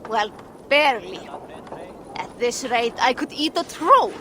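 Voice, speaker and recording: High-pitched, hungry, grumbling dwarf. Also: male voice, animated, measured pace, bright, forceful tone, slight background noise, full character voice. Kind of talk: video game cutscene acting.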